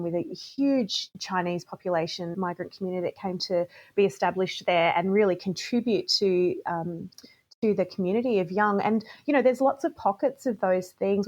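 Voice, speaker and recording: A woman hosts a casual podcast conversation over a video call. Her voice sits at an ordinary middle pitch. She muses aloud, circling back and restarting as she works toward a question. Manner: thoughtful, rambling with restarts. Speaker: podcast host.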